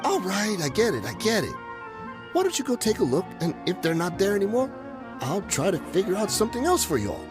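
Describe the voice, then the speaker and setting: Shifty male smuggler, highish voice, very animated pitch, wheedling, nervous, evasive, video game, background music. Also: brisk. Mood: grudging.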